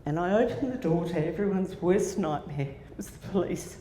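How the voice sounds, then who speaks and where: A woman's voice: lowish voice, expressive, moderate pace, dark tone. A woman in her sixties with an Australian accent, telling a personal story to a conference audience, with fillers and restarts along the way. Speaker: conference speaker. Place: conference hall. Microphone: handheld microphone. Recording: echoey hall with faint noise.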